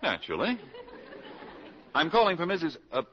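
A man's voice: a little high, wildly swooping pitch, slight background noise. An actor playing a genial, fussy middle-aged suitor in 1940s radio comedy style, effusive and drawing out his words. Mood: formal. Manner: effusive, drawn-out, repeats himself. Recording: dull vintage 1940s radio recording.

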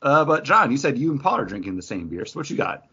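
A man's voice: mid-range voice, very animated, fast, creaky, pushed and bright, breathy edge. A man co-hosting a podcast, talking in a casual, conversational style. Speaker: podcast co-host. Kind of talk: casual chat. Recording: dull lo-fi recording.

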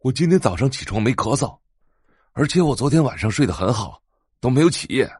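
An actor playing an excitable, admiring middle-aged husband and father, exclaiming earnestly. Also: mid-range voice, fairly quick, husky, dry recording, clean studio sound. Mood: excited.

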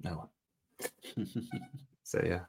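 A man's voice: very slow, a little mellow, a little hiss or hum. A man co-hosting a football podcast, chatting casually and rambling with fillers and restarts. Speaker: podcast co-host.

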